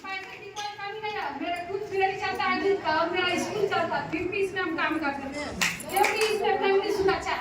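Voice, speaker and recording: A woman's voice: extremely high pitch, steady tone, fast. A woman proudly announcing her earnings and purchases at a seminar. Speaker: seminar speaker giving a testimonial. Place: indoor seminar hall. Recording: echoey hall with loud crowd noise.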